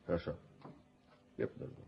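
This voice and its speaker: An actor playing a blunt young gentleman doctor in a radio play, curt and sardonic. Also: moderate pace, natural voice. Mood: sullen. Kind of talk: Soviet radio play acting. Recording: muffled 1970s radio drama recording.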